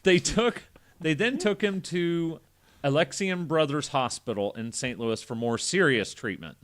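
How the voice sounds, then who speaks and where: A man's voice: fairly high pitch, expressive, measured pace, fairly bright tone. A man hosting a casual podcast, reading a story aloud with irreverent, foul-mouthed joking asides. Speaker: podcast host.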